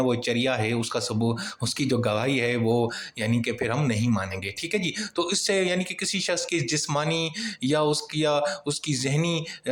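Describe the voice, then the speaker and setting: A male lecturer with a medium-pitched voice teaches in an explanatory, informal way. He states each point, then puts it again in everyday words. The recording is noticeably roomy and echoing. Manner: reads a point, then restates it colloquially with examples. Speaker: law lecturer. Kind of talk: informal explanatory law lesson.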